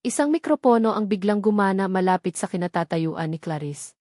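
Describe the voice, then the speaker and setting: A woman's voice: medium pitch, expressive, brisk, darkish tone, no echo, clean studio sound. A woman reading a scripted dramatic story as its narrator.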